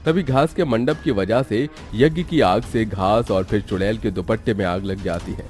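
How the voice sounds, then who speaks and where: A man's voice: fairly low pitch, normal speed, a little mellow, faint music behind. A man narrating a scripted cartoon story as its storyteller.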